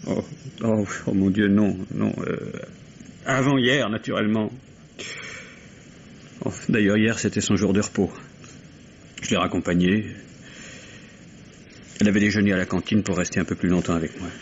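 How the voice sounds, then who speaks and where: An actor playing a defensive, emotional male nurse who answers haltingly and turns touchy, in an old radio mystery. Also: lowish voice, fairly even intonation, close to an everyday voice. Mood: agitated, earnest. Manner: halting, emotional, flaring into indignation. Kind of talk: 1950s French radio mystery acting. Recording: dull, noisy vintage radio recording.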